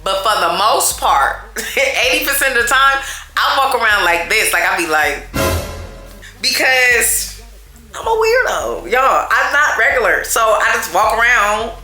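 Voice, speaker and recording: A woman telling a storytime to her viewers in a loud, chatty, animated way, talking straight to them as y'all. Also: a little high, a little slow, echoey room, faint music behind. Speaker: storytime vlogger.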